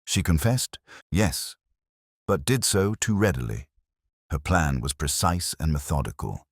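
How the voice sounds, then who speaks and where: Guy doing a very deep victorian detective, stern n logical, formal, voice moves around a lot, dry close sound. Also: big pitch swings, dry recording, heavily acted. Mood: quietly intent. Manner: measured, formal, certain.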